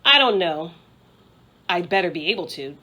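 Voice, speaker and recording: A woman's voice: fairly low pitch, big pitch swings, moderate pace, sharp tone, heavily acted. A woman voicing an earnest, hardworking schoolgirl in a children's storybook, with an emphatic, insistent way of talking. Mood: defensive. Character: earnest, intense, hardworking schoolgirl, bossy and defensive. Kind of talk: children's storybook read-aloud.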